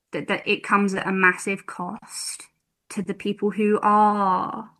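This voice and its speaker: A woman's voice: mid-range voice, pushed and bright. A woman in her twenties, a campaigner guest on a radio interview, speaking thoughtfully with many ums. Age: 20s. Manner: thoughtful, many ums, slow measured phrasing.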